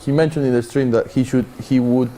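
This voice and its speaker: A man on a football panel, explaining his views in a measured, hedging way. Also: medium pitch, fairly even intonation, mellow, poor audio. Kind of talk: casual football chat.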